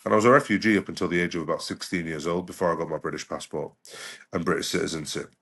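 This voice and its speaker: A man's voice: deep voice, racing, a bit bright, breathy edge. A middle-aged man who grew up in the UK, a doctor talking as a podcast guest in a reflective, conversational way. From United Kingdom. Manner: reflective, with frequent "you know" and restarts.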